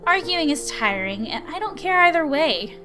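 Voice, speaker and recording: A woman's voice: high voice, very animated, moderate pace, over music. A woman voicing an arrogant, dismissive, gifted girl prodigy in a visual novel. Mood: bored, dismissive. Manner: smug, boastful, dismissive. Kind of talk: visual novel character reading.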